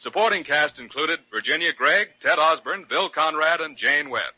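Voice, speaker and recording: A man's voice: a little high, expressive, normal speed, sharp tone, husky, no echo. A man announcing a 1940s radio drama in a formal, scripted, projected style. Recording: muffled old radio recording.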